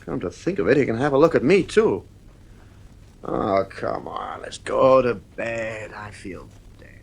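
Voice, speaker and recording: A strict, worn-down father in a radio drama. His mid-pitched voice moves widely and emphatically, irritable and stern. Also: animated, measured pace, creaky, husky, exaggerated voice. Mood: worried, weary. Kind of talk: radio play acting.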